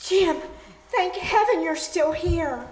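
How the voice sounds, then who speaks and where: Actress as shaken woman survivor, very high pitch, pleading, radio thriller, roomy echo. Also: takes it slowly, darkish tone, slight background noise. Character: frightened, shaken woman seeking company in a disaster. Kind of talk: live old-time radio thriller acting. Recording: echoey live stage.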